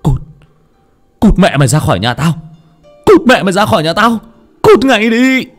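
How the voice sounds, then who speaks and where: A man voicing a young man high on drugs, screaming in a panicked frenzy. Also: very animated, a little slow, soft background music, heavily acted. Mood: frenzied rage. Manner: shrieking, panicked, frantic.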